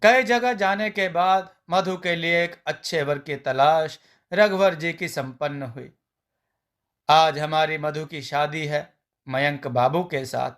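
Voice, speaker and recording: Slow-paced, higher-pitched male storyteller. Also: fairly bright tone. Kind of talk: scripted narration of a Hindi family story.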